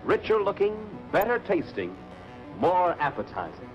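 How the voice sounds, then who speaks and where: A man's voice: high voice, wildly swooping pitch, normal speed, muffled recording. An American man announcing a product in the style of a 1950s television advertisement. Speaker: commercial announcer. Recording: vintage television advert with music.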